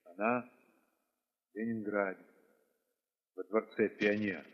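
A man plays an old, quiet accordionist in a wartime radio drama. He speaks low and haltingly, in short reluctant phrases, as a man of few words. The recording is thin and band-limited, with a long echo. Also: steady tone, dull audio. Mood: grave, subdued. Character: old taciturn male accordionist, a quiet heavy smoker.

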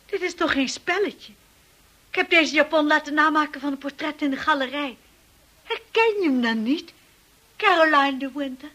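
An actress playing a timid, earnest young wife who tells her own story in a radio drama, with a husky, breathy voice. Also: high-pitched, normal speed, pushed and bright, no echo. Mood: hurt, pleading. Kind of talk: radio drama acting and first-person narration.

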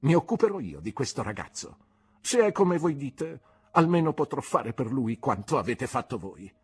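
A man doing a fierce husky old aunt. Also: mid-range voice, sing-song, normal speed, voice pushed up. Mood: commanding. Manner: scornful and commanding. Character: fierce, sharp-tongued older aunt, a woman. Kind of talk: audiobook character reading.

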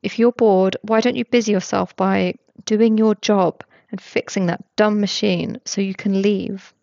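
A woman voicing a sour, deadpan young man. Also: medium pitch, unhurried, creaky, no room sound, highs cut off. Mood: dry, irritated. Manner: flat monotone, biting. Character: irritable dry-toned young man.

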